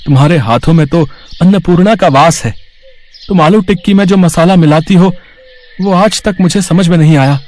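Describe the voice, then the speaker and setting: A humble, fickle street-vendor husband from a moral storybook, acted by a man. His voice sits fairly high and he speaks in earnest, declarative lines. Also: normal speed, darkish tone, close to an everyday voice. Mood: admiring.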